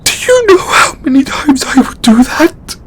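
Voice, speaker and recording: An actor playing a distraught, overburdened god in an emotional audio roleplay, a man speaking tearfully and haltingly with exasperated swearing. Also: very high-pitched, very animated, fairly bright tone, heavily acted. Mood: anguished, defensive. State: crying. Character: distraught, overburdened male god venting to his devotee.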